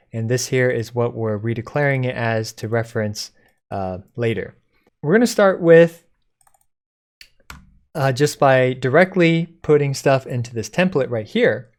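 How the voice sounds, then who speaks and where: A man presenting a code-along software tutorial in an informal, step-by-step explaining way. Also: medium pitch. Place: indoors at a computer, screen recording.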